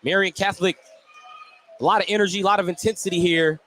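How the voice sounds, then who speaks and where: A male radio announcer delivers live basketball play-by-play in a fairly high voice. He speaks from inside a gym, with faint ambient noise behind his commentary. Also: fairly even intonation, fast talker, a bit bright.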